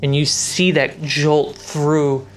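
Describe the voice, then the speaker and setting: A game master narrating a tabletop fantasy game in a measured, dramatic storyteller's way. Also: medium pitch, level tone. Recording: music behind the voice. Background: background music score.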